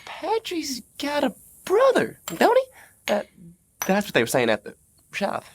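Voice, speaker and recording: A man's voice: extremely high pitch, huge pitch swings, a little slow, heavily acted. A man playing a timid, dim-witted American bandit brother in an audio drama, hesitant and stammering in a folksy way. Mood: uneasy.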